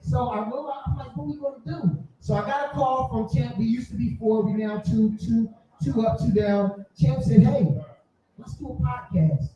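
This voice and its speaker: A man's voice: extremely high pitch, moderate pace, warm tone. A man in his forties telling stories casually and boisterously to a live audience, often repeating phrases for effect. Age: 40s. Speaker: podcaster telling their story at a live event. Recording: distant mic, very echoey room, muffled.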